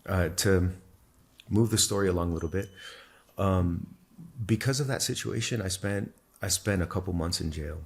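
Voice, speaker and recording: A man whose first language is Spanish, a podcast guest thoughtfully telling his own story in a measured, explanatory way. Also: low voice, creaky, breathy edge. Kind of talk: reflective personal storytelling in conversation.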